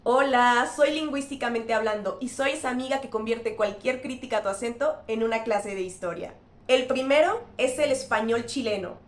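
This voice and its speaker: A woman linguist hosting a scripted educational explainer, speaking clearly and spelling out examples. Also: fairly high pitch, moderate pace, reverberant. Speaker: linguist and channel host.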